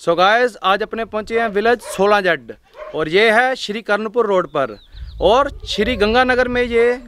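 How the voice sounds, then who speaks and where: A man's voice: high voice, a bit bright, slight background noise. Chatty man vlogging outdoors. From northwest India. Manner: chatty, upbeat, keeps addressing viewers as guys. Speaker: travel vlogger host. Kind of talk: casual vlog narration. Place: outdoors on a rural farm.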